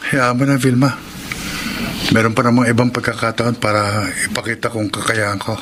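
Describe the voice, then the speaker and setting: A man's voice: mid-range voice, level tone, a little fast, background noise, lightly acted. A man acting a meek, anxious young office worker in a Filipino radio drama, hesitant and given to talking to himself. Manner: hesitant, talks to self anxiously. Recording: loud music bed under dialogue.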